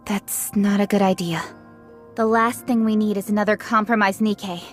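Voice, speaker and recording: A woman playing a composed, protective female squad leader in a game, speaking in a measured, authoritative way. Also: mid-range voice, steady tone, faint music behind, natural voice. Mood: firm, focused. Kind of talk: dubbed game cutscene voice acting.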